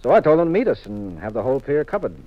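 An actor playing a confident, teasing American husband detective in a 1950s radio mystery, with a wry, assured manner. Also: male voice, medium pitch, very animated, very fast, dark tone, no echo. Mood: relaxed, reassuring. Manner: wry, assured, leading questions. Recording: muffled vintage radio recording.

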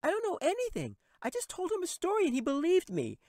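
A man voicing a frightened, tearful little girl in an audiobook, with a high pleading falsetto. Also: huge pitch swings, quick pace, darkish tone, no room sound, studio quality, heavily acted. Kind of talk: audiobook character reading.

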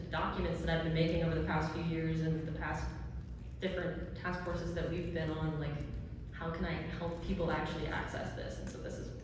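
Student candidate, woman, 20s, low pitch, earnest and halting, forum answers; distant mic, long hall reverb. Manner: earnest, halting, many fillers. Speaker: candidate for secretary. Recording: echoey hall, distant mic, background noise.

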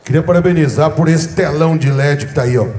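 A man giving lively, praising rodeo commentary, shouting out at the highlights. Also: fast. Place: outdoor rodeo arena.

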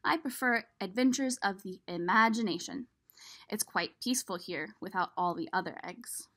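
A woman's voice: medium pitch, normal speed, bright, forceful tone, voice pushed down. A woman voicing a worry-prone homebody egg from a picture book, reading expressively and chattily to the listener. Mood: chatty, easygoing. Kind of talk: expressive storybook read-aloud.

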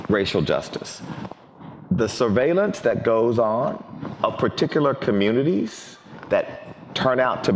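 A middle-aged man talks with expressive, deliberate emphasis on a panel stage. His pitch swings widely as he speaks, and his headset mic picks up a long hall echo over faint noise. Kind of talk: panel discussion. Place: large banquet hall on stage.

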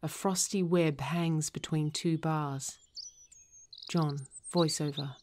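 A woman's voice: low voice, fairly even intonation, takes it slowly, a little mellow, a little hiss or hum. A woman reading stage directions as scripted narration for a drama.

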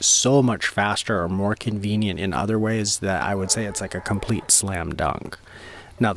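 A man giving a casual first-person vlog voice-over in a laid-back, colloquial way, peppered with "like" and slang. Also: on the low side. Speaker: vlogger reviewing camping gear.

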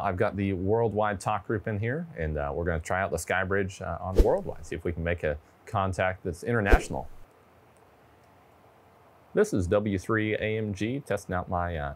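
A young man with a fairly low voice presents a radio demo. He talks casually, as if chatting to a fellow hobbyist, close on a lapel mic indoors. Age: 20s. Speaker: presenter demonstrating ham radio gear. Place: indoor studio with wood-panel backdrop.

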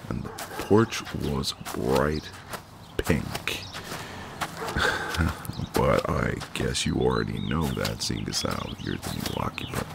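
Very deep-voiced gallant neighbour guy played by a man. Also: lively intonation, takes it slowly, gravelly, very noisy, heavily acted. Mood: friendly, chatty. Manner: easygoing, hesitant, conversational, gently teasing. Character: friendly, gallant, teasing ex-marine male neighbour. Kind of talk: intimate ASMR roleplay, one side of a conversation.

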